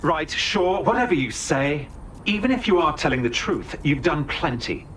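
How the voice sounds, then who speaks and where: An actor playing a defiant resistance operative in a video game, curt and dismissive. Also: male voice, a little high, big pitch swings, moderate pace, fairly bright tone. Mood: accusing. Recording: echoey room with faint noise.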